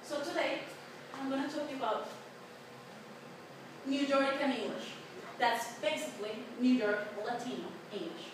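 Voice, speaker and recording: Colombian girl in her 20s doing a class talk, lots of uhs, kinda high voice, far off mic, super echoey room. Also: fairly even intonation, moderate pace. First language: Spanish. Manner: chatty, with many fillers and restarts. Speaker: student presenter. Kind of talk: class presentation. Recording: echoey, noisy room from a distance.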